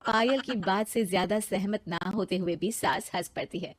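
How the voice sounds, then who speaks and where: A woman narrating a cartoon story and voicing a cheeky young heroine, in a lively way. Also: medium pitch, normal speed, a little hiss or hum. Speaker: narrator and lead voice.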